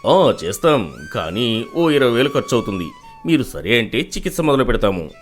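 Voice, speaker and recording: A man's voice: mid-range voice, big pitch swings, normal speed, soft background music, natural voice. Hard-hearted doctor played by a man for a story video: authoritative, stern, strongly inflected. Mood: grave. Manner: harsh, authoritative.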